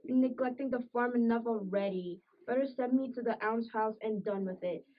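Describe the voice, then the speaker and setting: A woman's voice: a little high, a little fast, lightly acted. A woman playing a sickly, bitter, complaining farm wife with old-fashioned rural phrasing in an amateur period drama. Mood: reproachful. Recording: muffled audio, distant microphone.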